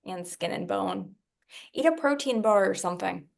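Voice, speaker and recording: Woman acting cocky young dancer, heavy vocal fry, sardonic, sharp-tongued, unbothered, table read. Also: medium pitch, lively intonation, moderate pace. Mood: snide.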